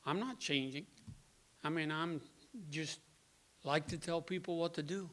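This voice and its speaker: A man in his sixties from the Netherlands with a Dutch accent, giving a plainspoken personal testimony to a group, pausing often on "uh.". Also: on the high side, background noise. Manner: plainspoken, halting with many "uh"s. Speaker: guest speaker.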